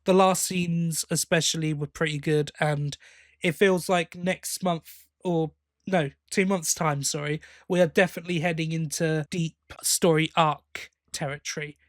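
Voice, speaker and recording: A man's voice: a little high, steady tone, measured pace, no room sound, clean studio sound. A man co-hosting a fan podcast, giving opinions in a casual, conversational review style. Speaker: podcast host.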